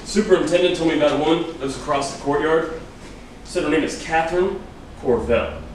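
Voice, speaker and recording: Guy reading polite cop in a crime play, slightly higher male voice, big echo. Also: fairly quick, natural voice. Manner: courteous, procedural. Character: polite, measured male junior police detective. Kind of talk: staged reading of a crime drama. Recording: very echoey, distant, noisy stage recording.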